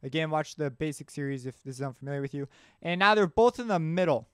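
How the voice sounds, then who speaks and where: Male tutorial host, slightly high, clean voice, informal think-aloud delivery. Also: animated, quick pace, fairly bright tone, clear, resonant tone, no room sound, studio quality. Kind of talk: casual software tutorial narration. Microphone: close mic screencast voice-over.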